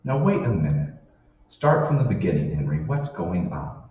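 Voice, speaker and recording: Heard from far off in a very echoey room, a low male voice swings widely in pitch. It is an actor as a bewildered, skeptical ordinary husband in a radio play, full of puzzled questions. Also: big pitch swings, normal speed. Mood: puzzled, wary. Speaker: amateur stage actor. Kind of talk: old-time radio drama acting. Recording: roomy, distant, dull hall recording with hiss.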